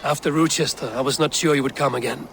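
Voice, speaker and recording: An actor playing a stern male Viking raid leader in a video game cutscene, speaking in a grave, measured, commanding way. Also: mid-range voice, steady tone, gravelly, fairly bright tone, a little hiss or hum. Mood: resolute.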